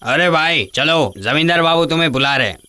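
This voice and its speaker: A man playing a dutiful henchman of a village landlord in an animated fairy tale. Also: on the high side, fairly quick, heavy background noise, close to an everyday voice. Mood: businesslike.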